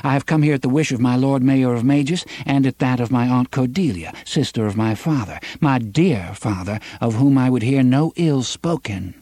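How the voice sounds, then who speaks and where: A man's voice: medium pitch, normal speed, hardly any pauses, dark tone, no room sound, voice pushed up, natural voice. A man voicing a proud young country girl in a storybook, defiant and controlled, with formal old-fashioned wording.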